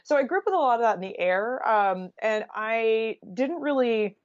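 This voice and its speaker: A woman's voice: on the high side, animated, moderate pace, no room sound. A woman with an American accent talking casually and candidly as an interview guest, with a wry streak. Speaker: journalist and author, podcast guest. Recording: dull, lo-fi sound.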